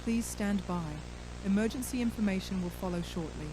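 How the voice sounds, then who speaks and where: A woman reads a scripted emergency broadcast announcement in a formal, measured manner. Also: mid-range voice, noisy background.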